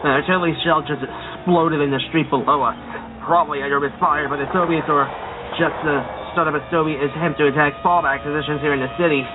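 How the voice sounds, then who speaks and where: A man's voice: a little high, fairly even intonation, quick pace, narrow, tinny audio, background noise, close to an everyday voice. A man playing a war correspondent filing a news field report in a radio drama. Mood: alarmed, urgent.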